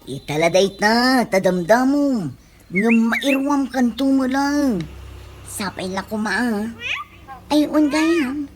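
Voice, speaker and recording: A woman's voice: a little high, lively intonation, takes it slowly, over music. A woman with an Ilocano accent playing a grateful provincial housewife looking for city work in a radio comedy drama. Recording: clearly noisy radio drama recording.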